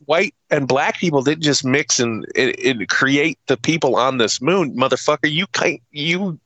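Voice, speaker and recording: A man's voice: on the high side, unhurried, sharp tone, no room sound, dull audio. A man co-hosting a podcast in casual chat, swearing freely and emphatically in exasperation as he rants through a movie review. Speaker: podcast co-host.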